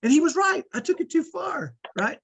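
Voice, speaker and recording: A middle-aged man with a strong, powerful voice, coaching parents in an energetic, emphatic way and often checking in with "right?". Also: very high-pitched, normal speed, vocal fry. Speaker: host.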